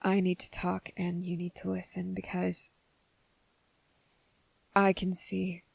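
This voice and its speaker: A woman voicing a nervous, earnest, gentle young man in an ASMR roleplay, speaking haltingly and softly, often slipping into a whisper. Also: lowish voice, no room sound, heavily acted. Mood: anxious, earnest. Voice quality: soft, breathy, slipping into whisper. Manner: halting, hesitant, often pausing mid-sentence. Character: nervous, earnest, gentle young male trainee confessing his feelings. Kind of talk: ASMR roleplay addressed to the listener.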